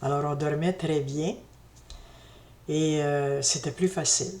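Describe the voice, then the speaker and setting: Slow-spoken woman, low voice. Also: steady tone, a little mellow. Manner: slow, reflective, hesitant, with many fillers and restarts. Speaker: spiritual vlogger. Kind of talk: personal storytelling to camera.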